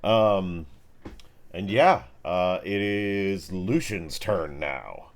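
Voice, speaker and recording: A man's voice: sits low, animated, extremely slow. A man acting as game master, improvising descriptive narration of a tabletop game mixed with informal, sweary chat.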